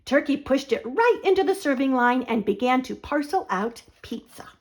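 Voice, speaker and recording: A woman reading a children's picture book aloud as a storyteller, in an expressive narrating style. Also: high-pitched, moderate pace.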